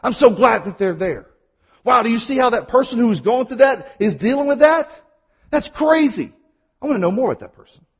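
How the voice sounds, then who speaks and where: A man with a Mississippi accent preaching a sermon, telling stories and at times talking fast. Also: very high-pitched, expressive, husky. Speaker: pastor.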